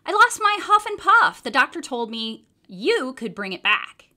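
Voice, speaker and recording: A woman voicing an excitable, naive young boy wolf from a children's storybook, eager and full of questions. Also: high-pitched, unhurried, voice pushed up, exaggerated voice. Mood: eager, anxious.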